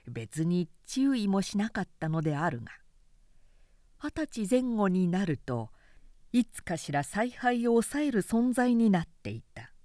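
A woman reading a literary short story aloud as a narrator, with measured, expressive storytelling delivery. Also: mid-range voice, no room sound, clean studio sound. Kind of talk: scripted literary narration, audiobook recitation.

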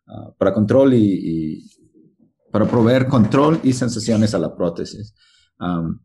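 A man answering interview questions, pausing often and searching for words over a call. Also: low-pitched, warm tone, a little hiss or hum. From Mexico. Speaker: researcher guest.

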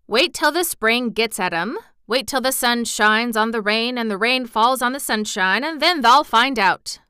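A woman doing a gruff old gardener. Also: on the high side, expressive, a little slow, bright, forceful tone, dry recording, studio quality. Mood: grudging. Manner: gruff, grumbling, blunt. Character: grumpy old male Yorkshire gardener.

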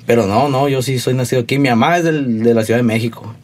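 A young man in his twenties from Sonora, with a Sonoran accent, talks as a musician guest on an interview show. His voice is mid-pitched, and he tells his stories in a laid-back, slangy, rambling way. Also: fast talker. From Sonora, Mexico. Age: 20s. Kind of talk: casual interview storytelling.

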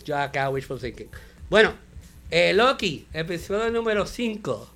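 A man, co-hosting a casual show review chat, animated and mixing in English words. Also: a little high, moderate pace, vocal fry. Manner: animated, mixes English words, laughs often. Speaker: podcast co-host.

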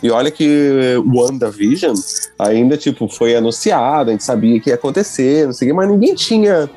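A man's voice: mid-range voice, animated, normal speed, dark tone. A man from Rio de Janeiro with a Brazilian Portuguese accent, chatting casually as a podcast co-host and rambling with lots of fillers. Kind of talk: casual chat.

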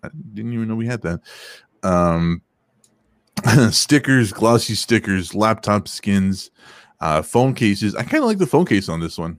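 Deep-voiced young man, crude and teasing. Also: big pitch swings, unhurried, dry recording, clean studio sound. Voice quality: deep voice without crackle. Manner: crude, teasing, laughs often. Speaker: podcast co-host. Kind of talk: casual chat.

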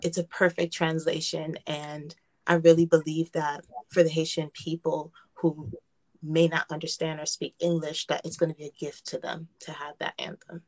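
A woman's voice: low voice, flat delivery, normal speed, darkish tone. A woman from the United States speaking earnestly and reflectively as a guest in a conversational interview. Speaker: gospel singer, radio guest.

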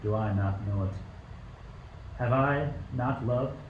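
A man's voice: mid-range voice, slow, narrow, tinny audio, close to an everyday voice. An amateur actor playing a stern, suspicious tyrant king in a radio play, with an imperious, declamatory delivery. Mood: bitter. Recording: roomy, distant mic, faint noise.

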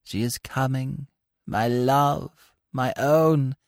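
A man reading aloud, voicing a lovesick young man who speaks softly, slowly and wistfully. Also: steady tone, gravelly, no echo, studio quality. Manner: low, hushed, slow and wistful.

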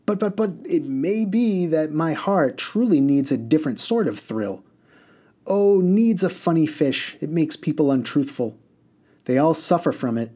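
A man's voice: on the high side, animated, measured pace, mellow, pitched above the performer's own voice. A man voicing a reckless, gleeful male mail coach driver who bellows and rambles in a singsong way.

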